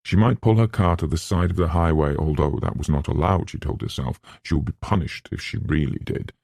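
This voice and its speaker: A man narrating a literary novel as an audiobook in measured, scripted storytelling. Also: sits low, mellow, slightly rough, no echo, clean studio sound.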